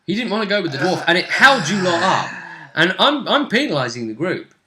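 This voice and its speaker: A British man talks as a game master looking back on a role-playing session. His voice is bright and forceful with animated swings in pitch, and his delivery is casual but emphatic. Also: a little fast, roomy sound.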